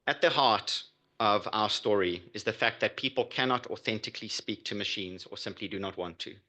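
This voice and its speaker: A man delivering a prepared pitch as a startup founder. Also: fairly quick, bright, forceful tone. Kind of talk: prepared business pitch presentation.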